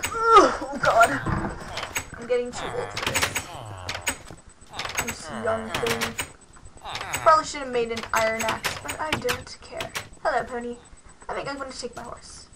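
A woman's voice: fairly high pitch, sing-song, measured pace, pauses often, heavy background noise. A young woman host chatting casually through a game, rambling with lots of "like", her voice worn from losing it, on a headset mic at her computer. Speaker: gaming channel host. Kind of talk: casual let's-play commentary.

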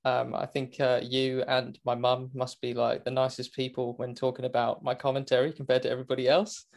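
A young British man in his twenties talks casually as a podcast guest. He is chatty and self-deprecating and laughs easily. His voice sits at an ordinary middle pitch. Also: monotone, a little fast, creaky. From England. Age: 20s. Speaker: guest, motorcycle racing commentator. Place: home, remote call.

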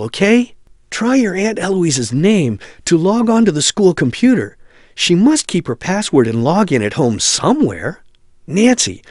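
Concerned helpful pal in a video game, earnest, high voice that moves around a lot. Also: male voice, no echo, clean studio sound. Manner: earnest, advising. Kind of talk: adventure game hint dialogue.

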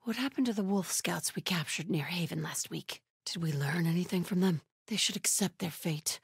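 Stealth game zealot scout: austere, vigilant, solemn, hushed and heavily breathy, lowish female voice. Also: moderate pace, no room sound, studio quality, heavily acted. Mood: solemn, devout. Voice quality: breathy, hushed, often whispery. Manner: solemn, guarded.

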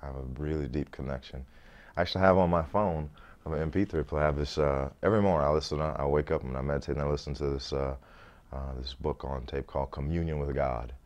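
A man in his thirties with a deep voice, a comedian chatting in an interview in a small studio, easygoing and laughing often, restarting words as he thinks. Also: fairly even intonation, fast talker, a little mellow. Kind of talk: relaxed interview chat. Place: small indoor studio set.

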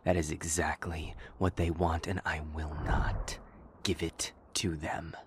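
Tyrant king for audio drama, male actor: low, commanding, angry and unyielding, with noise behind. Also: flat delivery, measured pace. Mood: stern command. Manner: commanding, clipped, forceful.

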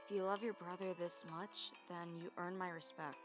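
Casting: stern young cartoon tough played by a woman, quiet lowered voice, gruffly kind, music underneath. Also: fairly even intonation, moderate pace, natural voice. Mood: stern, softening. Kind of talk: cartoon comic dub.

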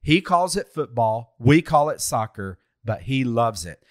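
A man's voice: mid-range voice, very animated, measured pace, no echo, clean studio sound. A man hosting a faith podcast, introducing and welcoming his guest. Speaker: podcast host. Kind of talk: interview hosting.

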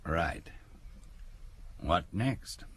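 An actor playing a dutiful police subordinate in a radio drama, giving clipped, matter-of-fact reports. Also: male voice, low-pitched, animated, drawn-out words, breathy edge, heavily acted.

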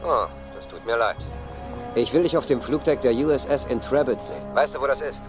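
An actor playing a confident, coolly controlling villain in a dubbed film. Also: male voice, medium pitch, brisk, lightly acted. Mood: cool, mocking. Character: smooth, confident male villain making demands. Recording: film soundtrack with music.

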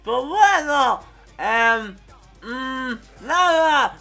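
Casual video creator, woman, Latin American accent, high animated voice over music. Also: extremely slow, creaky. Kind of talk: casual channel announcement and outtakes. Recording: music behind the voice.

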